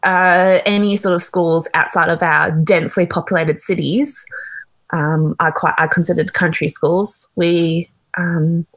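A woman's voice: fairly low pitch, steady tone. A woman from Australia who teaches in a government school, talking chattily with many fillers in a casual discussion. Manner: chatty, quick, with fillers and laughs.

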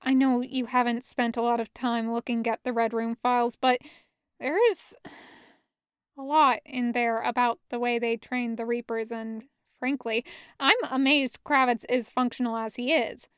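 A woman voicing a gentle, concerned man in an audio story, speaking carefully and with some hesitation. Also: a little high, little pitch movement, normal speed, clean tone, no echo, studio quality, lightly acted. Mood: reassuring.

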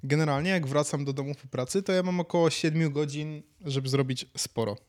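A man's voice: fairly high pitch, brisk. A man, talking casually as a podcast co-host. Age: 20s. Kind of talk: casual chat.

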